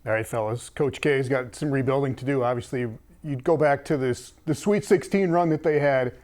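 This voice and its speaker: A man's voice: medium pitch, normal speed, a little mellow. A man hosting a sports talk show, moving between casual chat and presenting to viewers.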